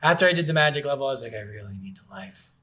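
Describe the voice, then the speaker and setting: A man's voice: medium pitch, expressive, rapid-fire, a bit bright. A man co-hosting a podcast, chatting casually about video games in a blunt, opinionated way and swearing freely. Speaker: podcast co-host. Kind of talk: casual video game review chat.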